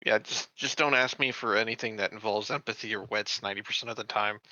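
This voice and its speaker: A man's voice: on the low side, fast, pushed and bright, dry recording. A man chatting and joking as a player in a tabletop game, on a slightly dull recording. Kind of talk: casual joking chat.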